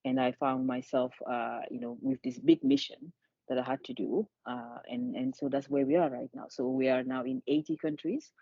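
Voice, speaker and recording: A woman's voice: a bass voice, lively intonation, normal speed, gravelly, mellow, no room sound, lo-fi sound. A woman, a charity founder speaking earnestly in an interview and often restarting her words. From Senegal. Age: 50s. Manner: earnest, repeats words as she restarts, laughs lightly. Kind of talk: conversational interview.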